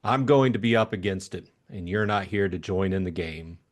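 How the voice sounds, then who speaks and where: A man voicing a light-hearted young gentleman adventurer in an audiobook, breezy and chummy with a jaunty manner. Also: deep voice, normal speed, pitched below the performer's own voice, natural voice. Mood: quietly grim.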